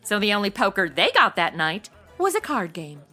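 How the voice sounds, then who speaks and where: A woman's voice: medium pitch, huge pitch swings, moderate pace, pushed and bright, faint music behind, exaggerated voice. A woman playing a sassy, shallow young party girl sidekick in a comedy audio drama, sarcastic and blunt, with modern slang. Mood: flippant, sarcastic.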